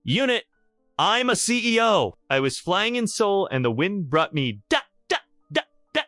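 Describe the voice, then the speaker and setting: A man's voice: high voice, big pitch swings, a little slow, pushed and bright, no echo, studio quality, soft background music, voice pushed up, full character voice. A man voicing a haughty, frightened young billionaire heiress, indignant and demanding, in an audio drama story. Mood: confused, indignant.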